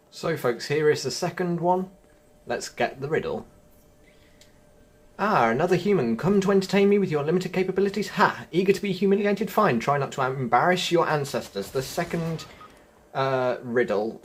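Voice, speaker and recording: A man's voice: medium pitch, a little hiss or hum. A young man hosting a video game walkthrough, chatty and jokey, talking straight to his viewers. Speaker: gaming video host.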